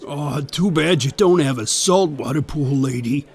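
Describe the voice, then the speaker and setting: A man's voice: a little high, a little slow, creaky, slightly rough, exaggerated voice. A man doing a folksy hotline guy. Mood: easygoing. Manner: laid-back, folksy. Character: easygoing turkey hotline helper. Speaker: hotline operator.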